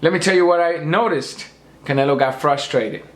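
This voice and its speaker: A man's voice: a little high, normal speed, roomy sound, slight background noise. A man losing his voice, ranting emphatically about boxing and lecturing his viewers. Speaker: boxing commentator. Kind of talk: opinionated rant to camera.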